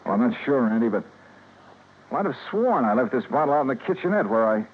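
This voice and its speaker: A muffled, hissy vintage radio recording of an American actor as a hard-boiled male investigator. His lowish voice swings widely in pitch, with emphatic, clipped delivery in classic detective drama style. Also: sing-song, fast, natural voice. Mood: probing. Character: hard-boiled male insurance investigator, anguished and indignant as a suspect. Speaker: lead actor and narrator. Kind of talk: golden-age American radio drama acting.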